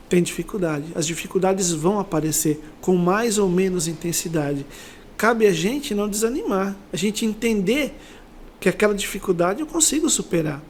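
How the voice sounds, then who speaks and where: A man's voice: sits high, darkish tone, a little hiss or hum. A middle-aged Brazilian man speaking as an interview guest, telling personal motivational stories in a reflective, conversational way and often checking for agreement. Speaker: interview guest, company sales director.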